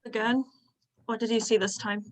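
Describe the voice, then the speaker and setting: A woman's voice: on the high side, level tone, moderate pace, no echo, close to an everyday voice. A woman playing a lofty young male poet in a gothic drama reading, theatrical in manner. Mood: soothing.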